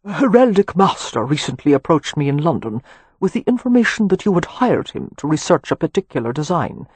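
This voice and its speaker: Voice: medium pitch, sing-song, fairly quick, a little mellow, slightly rough, dry recording. A reader voicing a proud, imperious old Irish earl with an Anglo-Irish accent, who speaks in a cold, commanding and dismissive way. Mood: haughty. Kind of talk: audiobook character reading.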